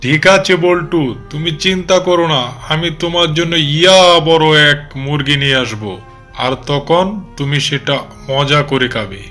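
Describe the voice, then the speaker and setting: A man's voice: on the high side, fairly even intonation, normal speed, sharp tone, soft background music. A man voicing a boastful, sly father fox who dotes on his son in a cartoon. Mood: reassuring. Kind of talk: animated storybook cartoon dialogue.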